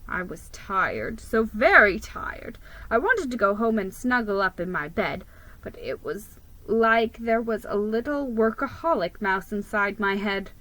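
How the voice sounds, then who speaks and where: A woman's voice: medium pitch, animated, unhurried, bright, forceful tone, rough recording. A woman giving a dramatic scripted reading of a children's story as its narrator. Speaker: storybook reader.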